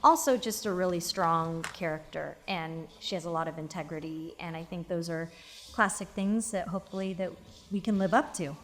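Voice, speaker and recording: A woman's voice: lowish voice, normal speed, a bit bright. A woman answering as a voice actor in a casual panel interview, earnest and reflective, with some background noise. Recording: faint noise behind live interview.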